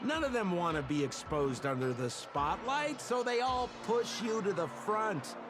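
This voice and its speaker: An actor playing a flamboyant, teasing trickster in a video game, theatrical and mocking, with a smugly cryptic, insinuating manner. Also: male voice, sits high, sing-song, a little slow, background noise. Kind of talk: video game character dialogue. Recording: background music under the voice.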